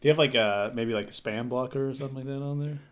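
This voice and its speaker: A man's voice: medium pitch, quick pace, fairly bright tone. A man co-hosting a podcast, chatting casually in loose, hesitant phrases. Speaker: podcast co-host. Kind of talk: casual chat.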